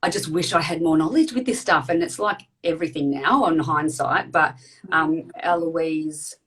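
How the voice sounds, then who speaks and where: A woman in her thirties hosts a casual live video chat with a low, grounded voice. She talks fast and chattily, full of fillers and restarts. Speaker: host.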